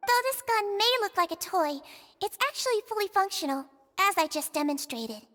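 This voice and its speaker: A woman's voice: very high-pitched, lively intonation, normal speed, fairly bright tone, big-room sound. A woman voicing a tiny, sweet, dutiful childlike nurse with formal, courteous speech in a video game. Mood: modest.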